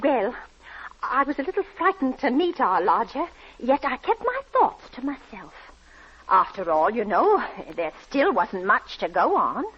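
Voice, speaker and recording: Anxious, high-voiced landlady. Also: sing-song, a little slow, slightly rough, full character voice. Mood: uneasy, pitying. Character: anxious, flustered working-class landlady and mother, middle-aged. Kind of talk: 1940s radio drama acting. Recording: dull 1940s radio recording with music.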